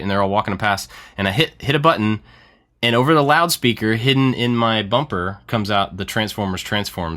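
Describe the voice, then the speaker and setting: A man's voice: lowish voice, pushed and bright. A man hosting a podcast in casual chat, enthusiastic and self-deprecating, laughing often as he tells stories. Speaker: podcast host.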